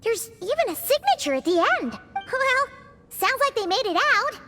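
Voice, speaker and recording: Little floating fairy, very high squeaky voice, animated swoops, bossy and bubbly, video game dialogue, loud music behind. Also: female voice, normal speed. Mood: chatty. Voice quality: squeaky, childlike. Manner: exclamatory, chatty, refers to herself by name.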